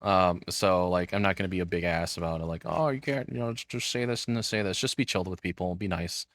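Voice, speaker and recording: A man's voice: low-pitched, fast, fairly bright tone, dry recording. A man casually rambling on a livestream as he reacts to videos, self-deprecating and swearing freely.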